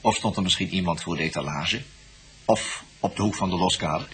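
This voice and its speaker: An actor playing a patient, coaxing male police investigator in a radio drama, repeating himself and prompting gently. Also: low-pitched, fairly quick, husky, soft background music, exaggerated voice. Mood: patient probing.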